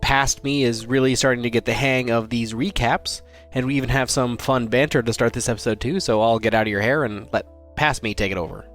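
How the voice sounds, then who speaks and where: A man's voice: medium pitch, a little fast, fairly bright tone, faint music behind. A man speaking as podcast host, giving an upbeat, friendly episode introduction addressed straight to listeners.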